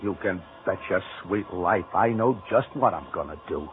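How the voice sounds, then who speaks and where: A man's voice: sits low, expressive, moderate pace. An actor playing a bitter, brooding ex-convict down on his luck, with slangy, clipped tough-guy talk in 1940s radio style. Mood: bitter, defiant. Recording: tinny old-time radio recording.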